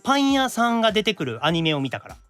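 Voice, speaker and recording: A man with a Kansai accent, a voice actor hosting a radio show with casual banter and playful, quick retorts. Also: sits high, big pitch swings, a bit bright, faint music behind.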